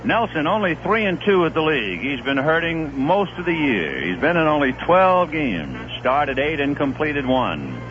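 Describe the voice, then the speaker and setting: Lively baseball radio announcer, muffled broadcast. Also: male voice, a little high, normal speed, hardly any pauses, noisy background. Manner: lively, conversational, reeling off statistics. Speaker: play-by-play announcer.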